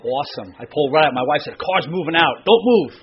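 A middle-aged man preaching a sermon into a stand microphone in a church, with a loud voice, telling casual self-deprecating stories and slowing down to read scripture. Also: on the high side, expressive, lo-fi sound. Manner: chatty, self-deprecating storyteller, slows down for scripture reading. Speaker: pastor. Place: church sanctuary. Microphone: stand microphone, stays close.

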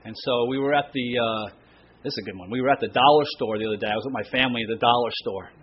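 A middle-aged man preaching a sermon into a stand microphone in a church, with a loud voice, telling casual self-deprecating stories and slowing down to read scripture. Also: medium pitch, lo-fi sound, a little hiss or hum. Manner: chatty, self-deprecating storyteller, slows down for scripture reading. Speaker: pastor. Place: church sanctuary. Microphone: stand microphone, stays close.

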